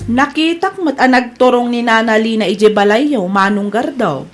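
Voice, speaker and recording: A woman's voice: a little high, moderate pace, faint music behind, natural voice. A woman playing a gossipy, complaining shopkeeper in an Ilocano family comedy drama. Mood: pleased, gossipy.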